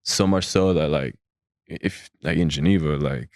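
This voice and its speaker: Low-voiced man telling animated stories. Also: normal speed, dry recording, studio quality. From Switzerland. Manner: animated storytelling, acts out voices of others. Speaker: podcast guest. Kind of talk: casual chat.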